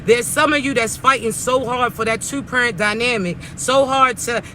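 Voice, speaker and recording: A raspy-voiced man sits high in his range as he talks viewers through a spiritual message. He speaks in an earnest, coaching way and keeps pulling listeners back in. Car and street sounds run clearly behind him outdoors. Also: normal speed, pushed and bright. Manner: earnest, coaching, repeats "chosen ones" and "see". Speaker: spiritual reader. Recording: outdoor traffic noise behind.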